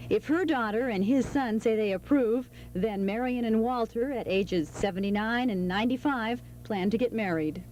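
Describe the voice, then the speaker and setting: A woman's voice: a little high, moderate pace, few pauses, a little mellow. An American woman working as a TV news reporter, reading scripted report narration. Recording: noisy archival 1980s TV news tape.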